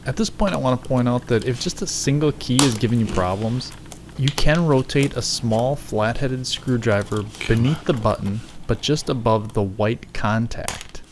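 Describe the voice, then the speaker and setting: A man's voice: medium pitch, moderate pace. Man narrating a tutorial, background noise. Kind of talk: scripted how-to voice-over narration.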